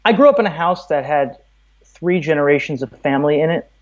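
A man's voice: a little high, expressive, brisk, highs cut off. A man from Brooklyn, telling stories conversationally as a podcast guest. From Brooklyn, New York, USA. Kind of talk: conversational interview, storytelling.

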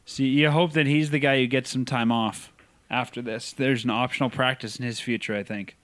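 A mid-pitched Canadian man with a casual, chatty way of talking. He co-hosts a sports podcast, reacts readily and moves easily between points. The recording is dry and close. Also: fairly even intonation, normal speed, a bit bright, no room sound. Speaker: podcast co-host.

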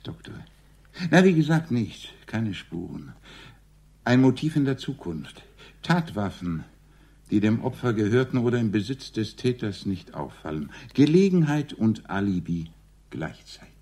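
A man's voice: lowish voice, big pitch swings, unhurried, mellow, slightly rough, heavily acted. An actor playing a quarrelsome, witty clergyman in a radio crime play, sardonic, eloquent and mock-formal. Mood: dry, deliberating. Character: quarrelsome, witty clergyman card player, nicknamed Eminenz.

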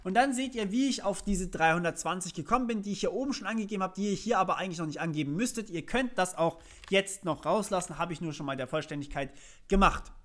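High-pitched young man explaining code. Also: quick pace, fairly bright tone. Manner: casual, chatty, poses questions and answers them. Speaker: programming tutorial host. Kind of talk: informal screencast tutorial, explaining code step by step.

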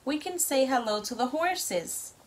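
A woman's voice: high-pitched, animated, unhurried, pitched above the performer's own voice. A woman voicing a kind young heroine in a picture book, lifting her voice sweetly. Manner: bright, sweet storybook voice, lifted above her own.

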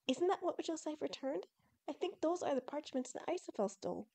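An excitable young fox girl from an audio story, acted out by a woman narrator. Her voice is high and mellow. She speaks with eager, earnest emphasis. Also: fairly quick. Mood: excited.

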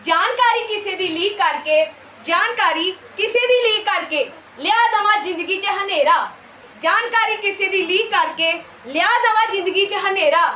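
A woman's voice: very high-pitched, normal speed, pushed and bright. A young female performer playing a menacing, boastful social media villain in a stage play, chanting and repeating lines. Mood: gloating. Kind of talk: school stage play declamation. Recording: echoey stage, distant mic, faint noise.

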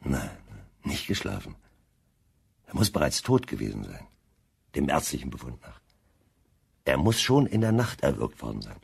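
An actor playing a calm, methodical, authoritative police chief inspector in a German crime radio drama. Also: male voice, a bass voice, moderate pace, gravelly, long pauses, husky, heavily acted. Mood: measured, deductive.

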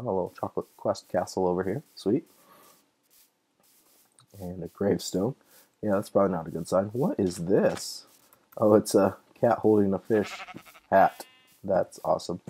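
A young man with a deep, mellow voice narrates his gameplay in a loose, chatty way. He gets excited easily and thinks aloud with plenty of fillers. He's a little sick, so his voice sounds slightly off. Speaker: gaming channel host. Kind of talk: casual let's-play commentary.

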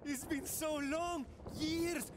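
Boisterous young male rebel: effusive, excitable, extremely high voice over loud action noise. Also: takes it slowly. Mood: overjoyed, relieved. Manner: exuberant, effusive. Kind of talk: video game cutscene acting.

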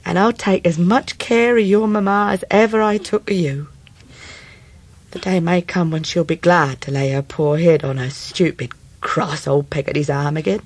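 A loyal Victorian nursemaid in a radio drama. Her English voice is fairly low and husky, with a halting, homely, tender way of talking. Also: dry recording. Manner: halting, tender, plain-spoken. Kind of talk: 1990s radio drama acting.

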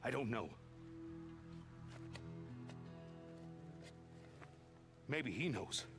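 A man's voice: mid-range voice, expressive, brisk, vocal fry, over music. An actor playing an exasperated work-camp foreman in a video game, argumentative and emphatic. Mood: defensive. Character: exasperated work-camp foreman standing up to his boss, Alkai. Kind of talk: naturalistic game cutscene acting.

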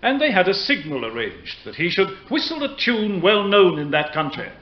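A formal prosecutor in a period courtroom drama. His voice is high and highly varied in pitch, earnest and measured, on a slightly muffled recording. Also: big pitch swings. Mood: grave, narrating. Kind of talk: period radio play acting.

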